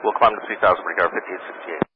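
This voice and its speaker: A man's voice: deep voice, fairly even intonation, racing. A man working as an airline pilot, making readbacks and status reports over cockpit radio. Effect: aviation radio. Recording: dull cockpit radio, faint noise.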